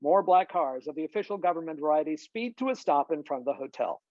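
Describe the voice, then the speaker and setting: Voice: mid-range voice, expressive, fast, a little mellow, no room sound. A narrator reading scripted stage directions at a table read over a video call. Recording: muffled, dull video-call audio.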